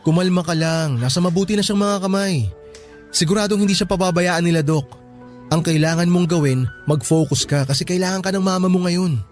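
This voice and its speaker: An actor playing a calm, reassuring young male hospital worker in a radio drama, speaking soothingly and earnestly. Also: high voice, a little fast, faint music behind, close to an everyday voice. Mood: calm, serious.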